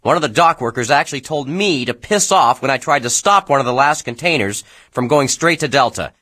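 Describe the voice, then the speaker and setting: An actor playing a self-important, aggrieved shipping bureaucrat, dictating an officious, indignant memo for a video game. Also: male voice, mid-range voice, lively intonation, fairly quick, pushed and bright.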